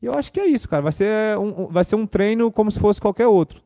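A young Brazilian footballer answering press questions casually at a desk microphone in a club press room, repeating words and using fillers. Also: male voice, high-pitched, animated, fast talker, dark tone.

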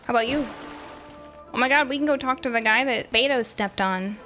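Female game streamer, bright and high-pitched, casual teasing commentary, animated delivery, music behind. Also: a little fast. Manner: animated, playful, exclaiming and teasing. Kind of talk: casual live gameplay commentary.

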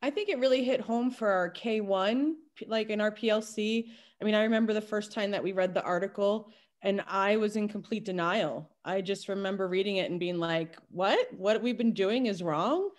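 A woman in her forties, a kindergarten teacher, telling a candid story on a video call from home. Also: medium pitch. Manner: candid, storytelling, quick asides. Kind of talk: casual video call panel. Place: home room at night. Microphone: laptop webcam on video call.

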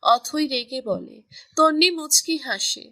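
A woman's voice: high voice, expressive, takes it slowly, sharp tone. A woman narrating a scripted romantic story.

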